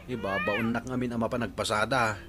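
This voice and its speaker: A man's voice: medium pitch, steady tone, a little fast, very noisy, natural voice. A man playing a hapless, whiny tricycle-driving husband in Ilocano-accented radio comedy drama. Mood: grumbling.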